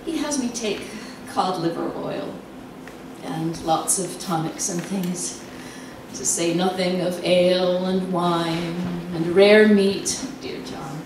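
An actress performing a theatrical monologue as a lonely, nervous, confined wife slipping into obsessive madness, halting and dramatic with drawn-out words. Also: female voice, on the low side. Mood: weary, wistful. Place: live performance in a cafe venue. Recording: very echoey room, distant mic, noisy.

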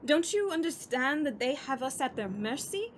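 A grave, fatalistic woman doctor acted by a woman speaks in a high, earnest voice, resigned to supernatural doom. It is horror radio drama with clearly noisy ambience behind her. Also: sing-song, moderate pace. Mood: grim resignation.

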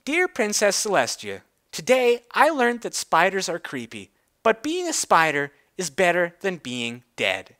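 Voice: medium pitch, wildly swooping pitch, measured pace, a bit bright. A reader voicing an earnest young female pony in a storybook, with a childlike, pleading manner.

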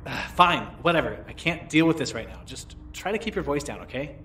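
A man's voice: a little high, animated, a little fast, pushed and bright, a little hiss or hum. A man playing an urgent, exasperated grown son under threat in an audio drama, practical in manner. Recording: music underneath the dialogue.

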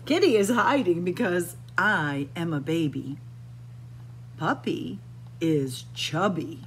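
Middle-aged lady doing storytime for little kids, lowish voice that swings up and down a lot, bit of noise. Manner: deliberate, expressive, sing-song phrasing for small children. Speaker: children's library storyteller. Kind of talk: picture book read-aloud for young children. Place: indoors in a library room with bookshelves.

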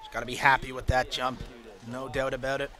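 A man giving short, excited remarks as a second commentator at a live ski event. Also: mid-range voice, a little fast. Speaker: colour commentator.

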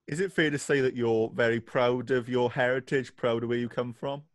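Young host, dry sound. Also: male voice, mid-range voice, fairly even intonation, moderate pace, no echo. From Wales. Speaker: podcast host. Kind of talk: interview questions. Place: indoor room. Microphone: studio microphone on video call.

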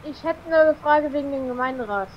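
A man voicing a high-pitched male farmer who calls with hesitant questions in a roleplay phone call. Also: fairly quick, a little hiss or hum. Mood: curious. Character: high-voiced male farmer caller Hans-Peter.

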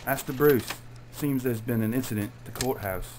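A man's voice: mid-range voice, background noise, lightly acted. A man playing a loyal, deferential butler with a formal manner in an audio drama. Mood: concerned, formal. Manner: deferential, measured wording.

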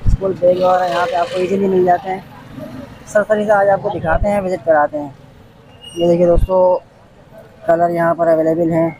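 A man's voice: sits high, lo-fi sound, background noise. A man vlogging a walking tour of an outdoor street market, politely pointing things out to viewers. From Pakistan. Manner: polite, addresses viewers as friends. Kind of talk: casual walking tour vlog.

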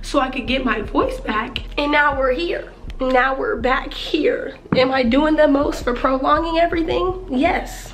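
Hoarse, high-voiced young woman vlogging. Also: rough recording. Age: young adult. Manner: animated, exclaims and repeats words for emphasis. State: hoarse, lost voice. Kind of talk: casual vlog chat to camera. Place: empty apartment bedroom, indoors. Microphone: handheld camera at arm's length.

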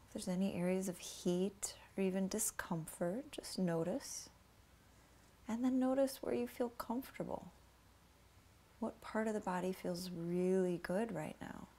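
Breathwork instructor, woman, mid pitch, slightly gravelly, calm unhurried coaching with frequent whispers. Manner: slow soothing coaching, often whispered. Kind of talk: guided breathing meditation.

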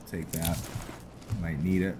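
A man giving casual gameplay commentary with some roleplay narration, muttering to himself in a halting, low-key way. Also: flat delivery, background noise. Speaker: gaming video host. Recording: music behind the voice.

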